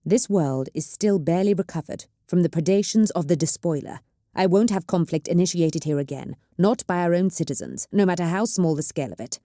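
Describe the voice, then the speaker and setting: A woman's voice: on the low side, fairly quick, mellow, dry recording, clean studio sound, lightly acted. Woman reading as a stately planetary governor. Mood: measured. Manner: courteous, politely veiled threats. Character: stately imperial planetary governor, measured and veiled.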